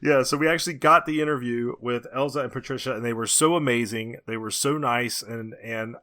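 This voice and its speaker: A man's voice: medium pitch, brisk, sharp tone. A man co-hosting a podcast in a casual, chatty style. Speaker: podcast co-host.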